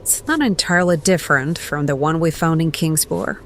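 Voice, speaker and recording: A woman playing a scholarly adventurer in an audio drama, measured and analytical in manner. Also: low voice, a little hiss or hum. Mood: measured, doubtful.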